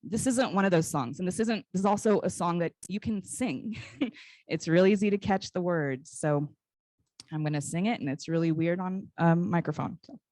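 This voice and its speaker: An American woman in her forties giving an informal public talk in a conversational, personal storytelling way, with frequent pauses. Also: on the low side, darkish tone, no room sound, clean studio sound. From Northern California, United States. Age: 40s. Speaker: guest speaker, basket weaver and cultural educator. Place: live talk at a conference.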